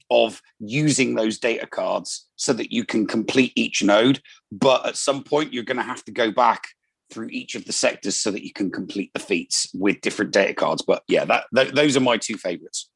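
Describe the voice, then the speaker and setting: A man's voice: on the low side, gravelly, fairly bright tone, breathy edge, dry recording, poor audio. A man talking casually on a live panel stream, hesitant, with many fillers and restarts.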